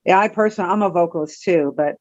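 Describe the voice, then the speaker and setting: A man's voice: sits high, fast talker, muffled recording, background noise. A man hosting a music interview, asking short, direct questions and giving brief affirmations.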